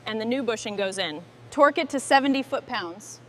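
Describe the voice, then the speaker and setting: A woman's voice: on the high side, lively intonation, a little fast, noisy background. A woman speaking as a garage mechanic, giving terse procedural call-outs in a businesslike, instructional way.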